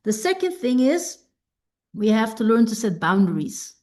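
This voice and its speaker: A woman coach whose first language is Dutch, teaching a self-help masterclass in an unhurried, reflective way and often checking in with "right?". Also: on the high side, big pitch swings, a little mellow.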